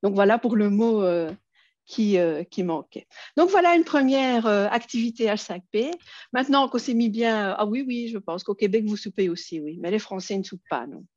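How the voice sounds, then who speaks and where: A woman's voice: mid-range voice, expressive, normal speed, a little mellow, no room sound, dull audio. A woman with a Belgian French accent presenting an online workshop, friendly, with many hesitations and fillers.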